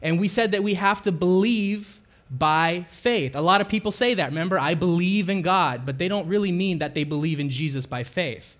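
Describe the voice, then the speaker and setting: A man with a slightly high voice preaches in a church sanctuary, his words carried by a microphone through the sound system. He talks in a loose, chatty way, corrects himself mid-sentence and keeps asking the room whether they remember. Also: moderate pace, a bit bright. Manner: conversational, self-correcting, asks the congregation questions. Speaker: pastor. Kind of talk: sermon.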